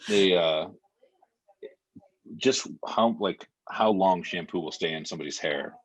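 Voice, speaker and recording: A man as a podcast guest, talking casually and telling a story in a halting, rambling way, with many fillers and restarts. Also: deep voice. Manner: plain, matter-of-fact storytelling. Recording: slightly muffled lo-fi podcast audio.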